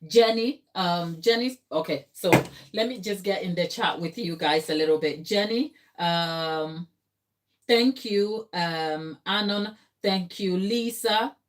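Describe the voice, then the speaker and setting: A woman from Ghana with a lowish voice hosts a live baking stream from her kitchen. She talks in a chatty, explanatory way, answering viewers' questions as they come in. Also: takes it slowly. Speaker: baking channel host. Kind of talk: live-stream cake tutorial and chat. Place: home kitchen.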